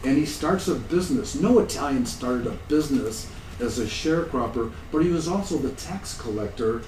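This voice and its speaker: A man's voice: medium pitch, expressive, normal speed, a little mellow, a little hiss or hum. Man in his sixties in an echoey hall. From United States. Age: 60s. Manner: conversational, self-deprecating, often amused. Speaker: conference presenter. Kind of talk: academic conference talk, anecdotal. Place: lecture room at a conference. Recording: echoey room, distant mic.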